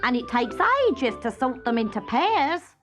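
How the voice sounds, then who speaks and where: A woman's voice: a little high, expressive, a little slow, soft background music, voice pushed up. A woman voicing an indignant, fed-up royal laundry lady in a storybook, huffy and emphatic.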